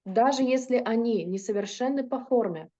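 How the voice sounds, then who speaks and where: A woman interprets a lecture sentence by sentence, fluent but now and then stopping to correct herself. Her voice is mellow and warm. The recording sounds dull and muffled. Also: fairly high pitch, normal speed. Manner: fluent, with occasional hesitations and self-corrections. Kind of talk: consecutive interpretation into Russian.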